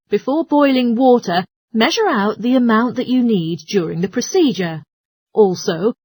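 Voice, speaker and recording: A woman's voice: medium pitch, slightly rough, no echo. A woman presenting a scripted, radio-style advice talk in an explanatory, instructional manner. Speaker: presenter of a listening-test talk.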